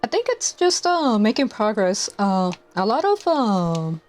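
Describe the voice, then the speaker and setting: Soft-spoken, hesitant young woman streamer. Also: mid-range voice, very animated, a little slow, slight background noise. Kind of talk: casual live-stream chat while gaming. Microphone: lapel mic clipped to collar. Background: faint game music.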